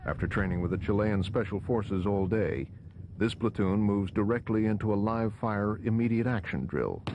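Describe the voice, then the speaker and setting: A man's voice: fairly low pitch, moderate pace, lo-fi sound. A man reading scripted documentary narration as the narrator of a military film. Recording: gunfire behind narration, noisy copy. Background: gunfire and battle noise.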